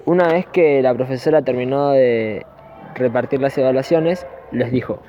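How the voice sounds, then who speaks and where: A man's voice: mid-range voice, moderate pace, warm tone, slight background noise. A man with an Argentine accent narrating a scripted amateur radio play. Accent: Argentine Spanish. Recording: dull, lo-fi amateur recording.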